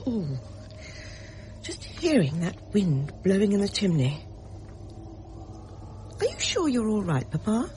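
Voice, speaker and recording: An actress playing a protective, brisk mother in a radio drama, concerned and gently scolding. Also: medium pitch, huge pitch swings, slight background noise. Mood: concerned, fussing.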